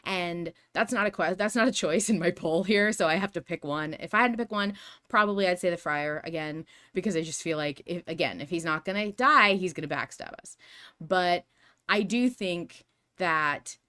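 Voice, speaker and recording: A woman with a slightly low voice speaks as a podcast co-host. She talks casually, like a fan speculating, and works through each possibility aloud in long, wandering sentences. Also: moderate pace, sharp tone. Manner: rambling, reasoning aloud through hypotheticals.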